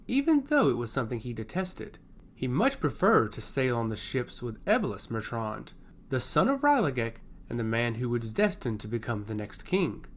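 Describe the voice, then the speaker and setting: A man narrating a scripted fantasy story in a measured, dramatic storytelling manner. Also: mid-range voice.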